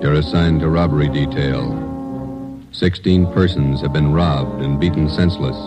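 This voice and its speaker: A man delivering a grave, slow scripted opening narration, addressing the listener directly. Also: very deep voice. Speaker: show opener announcer. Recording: old radio recording under loud music.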